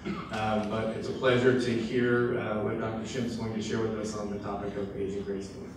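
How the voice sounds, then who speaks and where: A man introduces a guest lecturer in a hesitant, filler-laden way, his voice staying fairly flat. He sounds distant from the microphone in a very echoey room. Steady background noise sits under his words. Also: on the low side, fairly quick. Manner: hesitant, many fillers and restarts. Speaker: fellowship program director introducing a speaker. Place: lecture hall.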